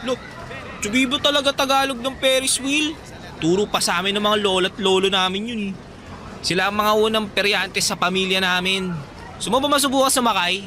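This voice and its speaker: A high-voiced playful fairground boy. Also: brisk, bright, forceful tone. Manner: teasing, insistent. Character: young male fairground worker, playful charmer. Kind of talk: radio drama acting. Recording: music and crowd noise behind.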